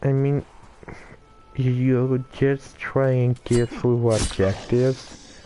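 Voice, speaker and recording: A man giving casual gameplay commentary in a halting, stuttering way, with many restarts and fillers. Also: mid-range voice, flat delivery, mellow, slight background noise.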